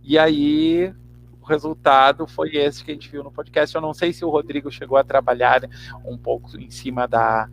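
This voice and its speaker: Gravelly, halting Brazilian man. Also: on the high side, steady tone, a little hiss or hum. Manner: halting, searching for words, repeats words. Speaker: actor and teacher interviewed. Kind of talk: reflective interview answer.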